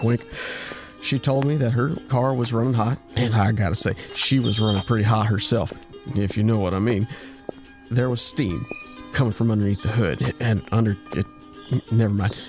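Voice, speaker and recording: A man's voice: medium pitch, natural voice. An actor playing a bashful, bragging womanizer in an audio drama, telling racy tales in a folksy, stumbling way full of innuendo. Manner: folksy, innuendo-laden, stumbling into self-corrections. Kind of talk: audio drama acting, anecdotal storytelling.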